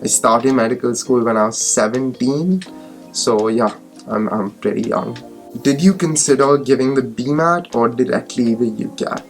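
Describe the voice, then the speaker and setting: A man's voice: mid-range voice, moderate pace, soft background music. A nineteen-year-old man from India, a medical student answering viewers' questions in a casual vlog, thinking aloud with fillers. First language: Hindi.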